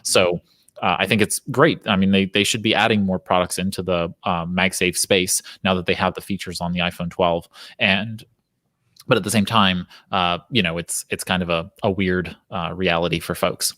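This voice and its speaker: A man with a big booming voice talks through the week's tech news as a podcast co-host. His pitch sits low and the recording is dry and close. He pads his sentences with frequent fillers. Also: fairly even intonation, normal speed, fairly bright tone, dry recording. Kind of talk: casual tech news chat.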